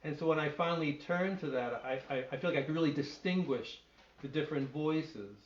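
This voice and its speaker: A middle-aged man with a fairly high voice talks on a video call and answers questions thoughtfully, restarting as he looks for the right words. The audio is dull and lo-fi. It echoes in the room. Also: a little fast, a little hiss or hum. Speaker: literary translator and poet. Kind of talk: answering questions at an online reading.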